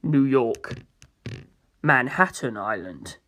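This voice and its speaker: A narrator voicing a subdued, solemn male priest who speaks quietly and tersely in an audiobook. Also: mid-range voice, animated, takes it slowly, voice pushed down. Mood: hushed, solemn.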